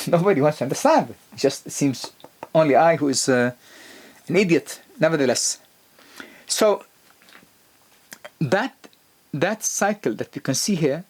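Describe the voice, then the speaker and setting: A man lecturing to the camera about health in a husky voice. His pitch jumps up on key points, and he talks with restarts and fillers. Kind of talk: explanatory lecture video.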